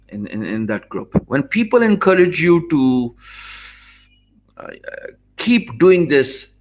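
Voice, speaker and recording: A Pakistani man, English not his first language, giving an earnest video lesson from a small home office with many hesitant fillers. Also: a little high. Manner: earnest and measured, many "uh" fillers and restarts, gestures with his hands. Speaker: online business coach. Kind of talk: talking-head video lesson. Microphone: stand mic close in front, webcam framing.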